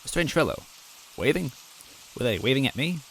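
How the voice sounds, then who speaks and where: A man reading a first-person story aloud in a soft, wistful, gently comic way. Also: mid-range voice, very animated, normal speed, slight background noise, low-quality recording.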